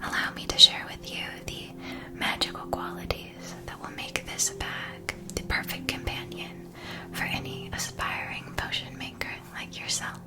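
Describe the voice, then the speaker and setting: Woman as a whispering witch-shop keeper. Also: lightly acted. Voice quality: soft, breathy whisper. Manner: slow, gentle, measured, attentive salesmanship. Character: courteous, helpful woman shopkeeper at a witch school supply store. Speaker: ASMR roleplay performer. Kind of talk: whispered ASMR shopkeeper roleplay.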